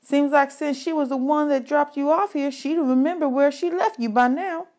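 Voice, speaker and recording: Woman as a high-pitched cheeky little boy. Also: moderate pace, darkish tone, lightly acted. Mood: needling. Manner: high, pointed, needling. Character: cheeky little orphan boy talking back to bully.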